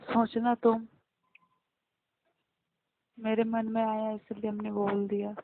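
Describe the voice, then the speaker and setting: A woman's voice: fairly high pitch, monotone, slow, dry recording. A young woman in a casual, recorded phone conversation, speaking plainly and earnestly. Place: phone call. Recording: very noisy phone line with clicks.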